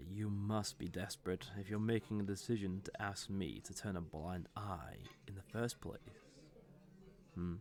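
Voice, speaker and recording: Villain for roleplay audio drama, male actor: smug, flirty, teasing male enemy, gravelly, takes his time. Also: fairly low pitch, a little mellow. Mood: smug, menacing. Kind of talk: scripted roleplay audio drama, addressed to the listener.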